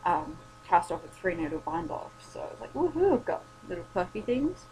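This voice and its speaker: A young woman talks in a low, worn, tired voice. She rambles and swears casually, losing her voice a little. A steady hum runs under the home recording. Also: moderate pace, rough recording. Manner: rambling, sweary, self-deprecating. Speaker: vlogger. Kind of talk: casual late-night chat.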